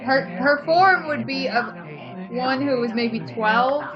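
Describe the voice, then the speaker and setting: A fairly high-pitched woman gives casual let's play commentary. She is blunt and opinionated and swears freely. Her mic sounds dull and lo-fi, with game music clearly audible behind her. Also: slow pace.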